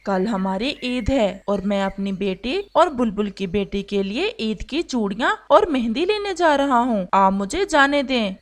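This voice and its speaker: A woman voicing a gentle, devoted father pigeon in a cartoon, speaking in an earnest, polite, pleading manner. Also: fairly high pitch, animated, unhurried, few pauses.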